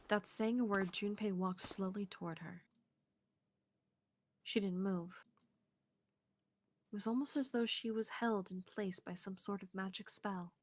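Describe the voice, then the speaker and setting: A woman reading visual novel narration aloud on a game stream in an expressive way, close to the mic. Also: medium pitch, unhurried, pauses often. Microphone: close streaming mic, dry sound.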